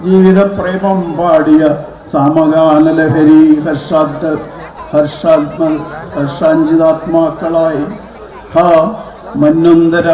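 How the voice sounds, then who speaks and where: A man recites verse in an echoey hall, with some audience sound around him. His voice is fairly high and mellow. He chants the lines in a measured way, sometimes halting and repeating a phrase. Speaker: poetry reciter. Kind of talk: live poem recitation at a community event.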